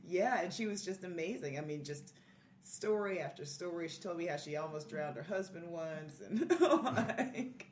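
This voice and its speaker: Low-voiced woman, echoey and distant. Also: lively intonation, normal speed. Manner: effusive, laughs easily. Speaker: podcast guest. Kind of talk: casual conversational storytelling. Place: live in-person podcast recording in a room. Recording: roomy echo, off-mic, faint noise.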